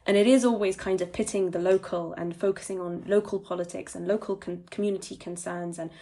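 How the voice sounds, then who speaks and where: Woman cohosting a podcast, kinda low voice with lots of vocal fry, thoughtful and measured. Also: steady tone, warm tone. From United Kingdom. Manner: thoughtful, measured, often hedges with "you know". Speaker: podcast co-host. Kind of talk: podcast discussion.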